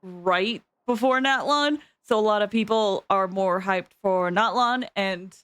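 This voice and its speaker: A woman's voice: medium pitch, unhurried, fairly bright tone, clear, resonant tone, no room sound. A woman hosting a game livestream, chatting casually and informally with viewers, often drifting into asides.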